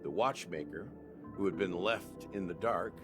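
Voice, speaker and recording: An actor playing a secretive man who solemnly lays bare the dark history of a dream world in a game cutscene. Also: low voice, natural voice. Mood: grave. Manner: measured, solemn explaining. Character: grave schemer revealing a dreamland's dark secret. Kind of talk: video game cutscene dialogue. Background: game music.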